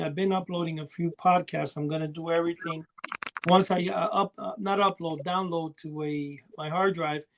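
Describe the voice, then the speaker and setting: A man's voice: on the high side, creaky. A man hosting a podcast, making casual announcements in an informal, conversational way. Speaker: podcast host.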